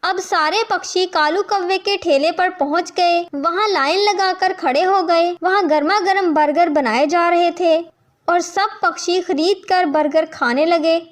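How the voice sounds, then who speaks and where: A woman with an extremely high-pitched voice narrates a children's tale. The delivery is scripted storytelling, told as the narrator of a cartoon. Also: measured pace.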